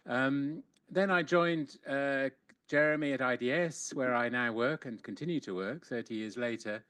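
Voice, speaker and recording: A man in his sixties reminisces on a video call in a relaxed, lightly humorous tone. He sits back from his mic, so his voice comes through distant and dry. He talks as a panelist at a webinar. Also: medium pitch, moderate pace, clear, resonant tone, no echo. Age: 60s.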